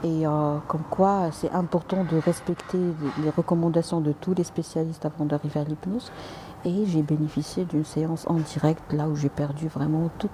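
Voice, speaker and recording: A woman's voice: sits low, flat delivery, normal speed, dark tone, a little hiss or hum. A woman doctor answering viewers' comments on a live stream, polite but direct, with frequent hesitations and restarts, slipping in English and Arabic words.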